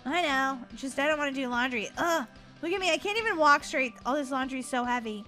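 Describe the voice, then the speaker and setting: A woman's voice: sits high, expressive, fairly quick, bright, forceful tone, soft background music. A woman voicing a playful, whiny, sassy young girl in an exaggerated childlike manner, role-playing over a video game. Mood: frustrated. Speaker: gaming channel host.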